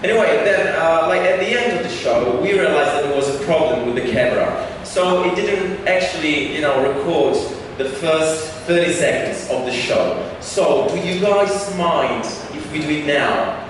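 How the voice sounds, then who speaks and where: A male comedian works the crowd in a casual, rambling way, his voice a bit high. He sounds distant in a large, echoing hall with steady background noise. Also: moderate pace, hardly any pauses. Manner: chatty, joking, full of fillers and asides to the audience. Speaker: stand-up comedian. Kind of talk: stand-up with crowd work. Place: comedy club stage.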